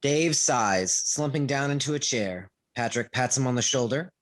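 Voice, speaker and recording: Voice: mid-range voice, lively intonation, moderate pace. A person reading scripted stage directions at a play table read over video call.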